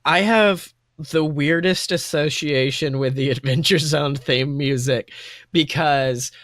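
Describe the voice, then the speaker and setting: Higher-voiced young man, gushing podcast chat. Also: slow pace, a bit bright, no room sound, studio quality. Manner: gushing, rambling, self-interrupting. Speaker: podcast co-host. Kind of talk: casual enthusiastic chat.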